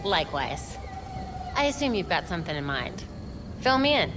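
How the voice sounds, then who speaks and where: Earnest, determined young heroine. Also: female voice, on the low side, very animated, normal speed, noisy background. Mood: earnest, businesslike. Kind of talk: video game cutscene acting. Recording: music behind the voice. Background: music.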